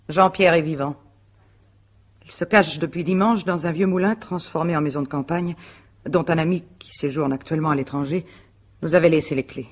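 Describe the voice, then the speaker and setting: An actress playing a composed, proud married woman under interrogation in a radio mystery drama, speaking in a measured, formal way. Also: low voice. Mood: resigned.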